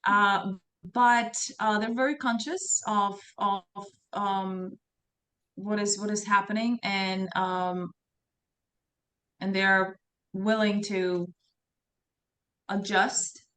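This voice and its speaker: A woman guest on a video call answering in a careful, measured way with many fillers. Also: mid-range voice, steady tone, fairly bright tone. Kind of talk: casual video-call chat.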